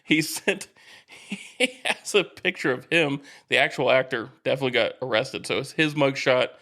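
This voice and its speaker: Man, mid-pitched voice, casual sports podcast chat, laid-back, colloquial. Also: moderate pace, pushed and bright.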